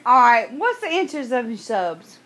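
A high-pitched woman plays prank caller with brash, confrontational insistence. She interrupts and swears freely. The home-made recording carries faint noise. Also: very animated, unhurried, fairly bright tone. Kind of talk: prank phone call, confrontational banter.

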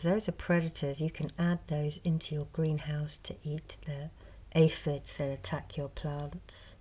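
A middle-aged woman, a reiki healer, speaking softly and slowly in a partly whispered show and tell, a little hoarse from a cold. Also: lowish voice. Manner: slow, soft, partly whispered. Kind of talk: soft-spoken ASMR show and tell. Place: indoors at a table. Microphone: close mic over a tabletop.

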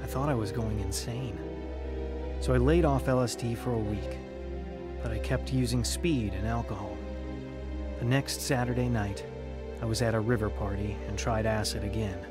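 A man's voice: fairly low pitch, normal speed, close to an everyday voice. An actor playing a troubled young man in a radio drama, telling his own conversion story in an earnest, dramatic way. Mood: grave, confessional. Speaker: narrator and lead actor. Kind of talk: dramatized first-person testimony in a radio drama. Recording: dramatic music under the voice.

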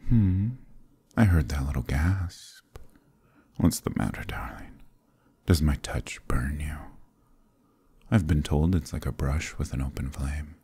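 Brief: man playing a flirtatious demon prince, very deep slow murmur, husky breathy close-mic half-whisper, teasing and commanding. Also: dark tone, heavily acted. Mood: seductive. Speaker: voice actor in audio roleplay. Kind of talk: ASMR roleplay, close intimate murmur.

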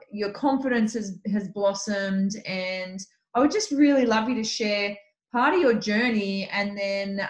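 A woman hosts a group video call and introduces the night's speaker with gushing praise. She talks loosely with plenty of fillers, and her voice rings in an echoey room. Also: mid-range voice. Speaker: host.

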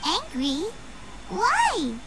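Bubbly high-voiced young reporter. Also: female voice, wildly swooping pitch, heavy background noise, exaggerated voice. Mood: eager, curious. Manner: brisk, enthusiastic, inquisitive. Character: eager, bubbly young female newspaper reporter. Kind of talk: video game character dialogue.

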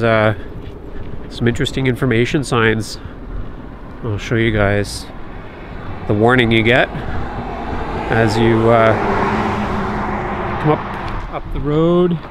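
A middle-aged Canadian man narrating a casual travel vlog outdoors, holding the camera at arm's length and chatting in an easygoing way. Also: medium pitch. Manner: easygoing, chatty, laughs easily. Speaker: vlogger. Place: outdoors, on a lake and by a roadside. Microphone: handheld camera at arm's length. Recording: outdoor camera audio with clear background noise.

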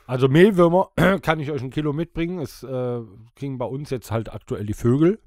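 A man's voice: mid-range voice, a little fast, darkish tone, no room sound. A man co-hosting a podcast in casual conversation, recorded close to a studio microphone. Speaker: podcast co-host and band musician.

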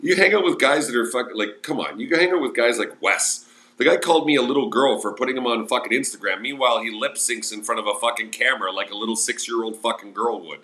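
A man's voice: medium pitch, fast talker, bright, forceful tone. A man hosting a livestream, grumbling and ranting crudely with constant cursing. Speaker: livestream host. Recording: roomy echo with faint music.

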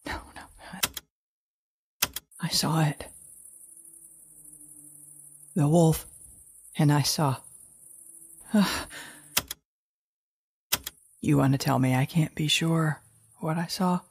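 A low, gravelly woman surviving alone after the end of the world. She is an anxious mother heavy with guilt, stammering and doubling back on her words in an intimate audio drama monologue. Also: background noise, heavily acted. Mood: defensive, insistent. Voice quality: husky, gravelly. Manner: halting, stammering, repeating words.

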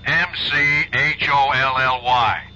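An actor playing a loyal, apologetic police chief in a radio drama, speaking in plain, clipped phrases. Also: male voice, medium pitch, steady tone, slow pace, sharp tone. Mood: helpful. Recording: muffled, hissy radio play phone call.

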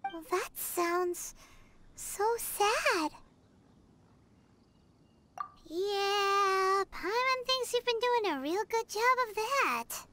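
A woman voicing a small, excitable, childlike fairy companion in a video game, squeaky and expressive. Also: slow pace, a little hiss or hum, heavily acted. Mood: sympathetic. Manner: expressive, refers to self in third person. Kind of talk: video game dialogue acting.

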